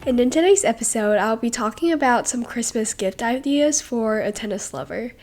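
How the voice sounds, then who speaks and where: A woman's voice: on the high side, animated, a little mellow, faint music behind. Young woman host, sick with a cold. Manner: rambling, many fillers and pauses. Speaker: podcast host. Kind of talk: casual solo podcast talk.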